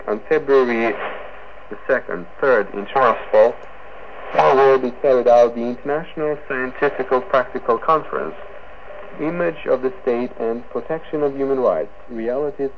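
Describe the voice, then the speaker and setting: A man's voice: mid-range voice, a little hiss or hum. Eastern European-accented man on muffled shortwave radio. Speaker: radio newsreader. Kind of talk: scripted news bulletin reading.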